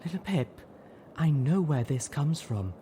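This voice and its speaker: A man voicing a gentle, cautious, refined mare with a soft, slightly raspy voice in an audio drama. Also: fairly high pitch, animated, a little slow, a little hiss or hum, pitched above the performer's own voice. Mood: pleading.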